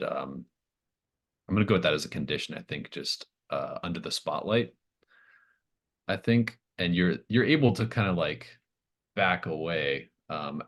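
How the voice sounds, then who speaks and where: A man with a very deep voice narrates a tabletop roleplaying game as its game master. He improvises as he goes, feeling his way hesitantly through each scene and thinking aloud. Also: fairly bright tone.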